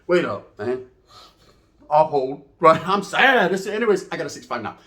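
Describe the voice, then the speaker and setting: A man's voice: on the high side, sing-song, moderate pace, bright, forceful tone. A middle-aged man tells a comedic story informally, profane and boastful, wandering off and checking in with tag questions.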